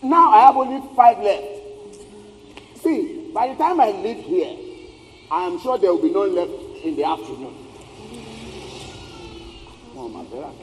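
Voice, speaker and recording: High-voiced, pushy market newspaper seller. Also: male voice, animated, unhurried, long pauses. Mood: boastful, persuasive. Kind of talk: theatrical stage-play acting. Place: theatre stage, picked up in the hall. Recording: echoey stage hall, background noise.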